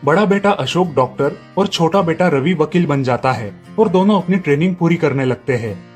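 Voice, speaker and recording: A man's voice: on the high side, expressive, normal speed, faint music behind. A man reading scripted narration for a moral family story.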